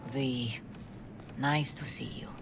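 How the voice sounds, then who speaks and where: An actress playing a grieving, dignified middle-aged mother with a Latin American Spanish accent, gently hospitable and unhurried, in a video game drama. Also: rumbling low, steady tone, gravelly, background noise, exaggerated voice. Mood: welcoming, tender. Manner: motherly, unhurried, peppers speech with Spanish endearments.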